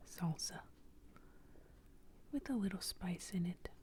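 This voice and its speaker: A woman telling an improvised bedtime story in a soft ASMR whisper. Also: on the low side, lively intonation, slow.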